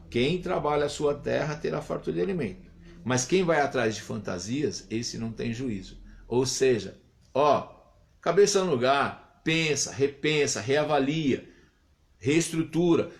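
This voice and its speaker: A man in his fifties, a pastor giving a morning devotional sermon in an earnest, reflective way, lifting his voice on exhortations. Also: mid-range voice. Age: 50s.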